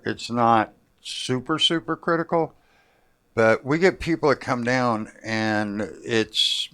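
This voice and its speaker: A man's voice: on the low side, a bit bright. A man hosting a fishing show, chatting casually and joking in a rambling, colloquial way. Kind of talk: casual chat.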